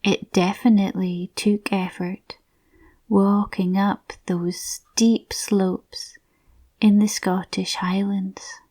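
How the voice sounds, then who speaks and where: A woman softly narrating a scripted guided visualisation in a soothing, breathy, near-whispered voice. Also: mid-range voice, level tone, slow.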